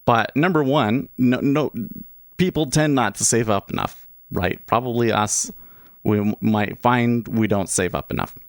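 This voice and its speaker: A man's voice: medium pitch, a little slow, clean tone, no echo, studio quality. A man in his thirties hosting a day-trading livestream, chatting casually and rambling through restarts and asides. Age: 30s. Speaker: livestream host, day trader.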